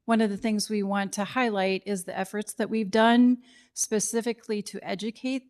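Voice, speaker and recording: Soft-spoken woman project manager. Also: steady tone, moderate pace. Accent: American Midwest. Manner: low voice, apologetic, welcoming. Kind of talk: public meeting presentation.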